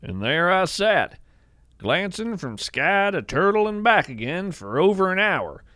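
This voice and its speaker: A man playing an earnest, awestruck naturalist, narrating in a poetic, measured storytelling style. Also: high-pitched, big pitch swings, sharp tone, no room sound, studio quality, heavily acted. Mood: hushed wonder. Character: awestruck bearded male naturalist who studies strange creatures. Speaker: host and narrator of a fiction podcast. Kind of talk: scripted first-person narration, storytelling.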